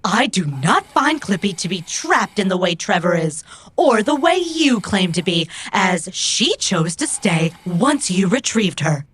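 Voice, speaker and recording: A woman playing a proud, loyal robot companion in a cyberpunk audio drama, speaking in formal, precise, measured phrases with a husky voice. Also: mid-range voice, very animated. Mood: measured, offended.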